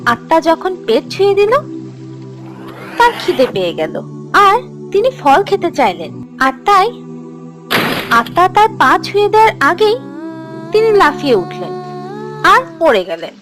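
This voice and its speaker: A woman playing a gentle, encouraging teacher who tells scripted religious stories to children in a cartoon. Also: very high voice, wildly swooping pitch, a little slow, long pauses, over music, heavily acted. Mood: narrating, instructive. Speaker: storyteller, teacher.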